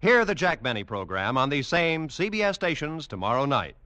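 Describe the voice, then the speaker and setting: A man's voice: mid-range voice, sing-song, normal speed. An American man reading scripted announcements in vintage radio announcer style. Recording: vintage radio broadcast, music underneath.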